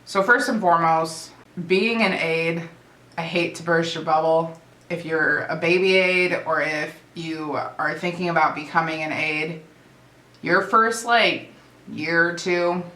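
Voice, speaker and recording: A young woman talking casually to camera as a vlogger, chatty and relaxed with fillers and restarts. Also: low voice. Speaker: vlogger and nursing aide. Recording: echoey room.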